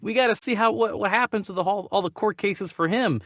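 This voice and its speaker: A man's voice: high-pitched, fast, a bit bright, dry recording, studio quality. A man hosting a discussion show, giving opinions casually and emphatically, often repeating phrases as he builds his point. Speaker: host of a movie news show.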